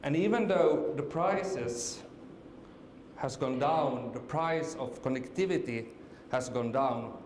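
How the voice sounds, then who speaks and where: A man's voice: mid-range voice, takes it slowly, darkish tone. Finnish man presenting in an echoey hall. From Finland. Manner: hesitant, many fillers and restarts. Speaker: conference speaker. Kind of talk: conference presentation. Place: conference hall. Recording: echoey hall with faint noise.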